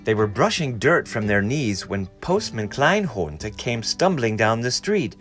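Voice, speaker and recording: A man reading a storybook aloud in an expressive, dramatic and deliberate way. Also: medium pitch, soft background music. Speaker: story reader.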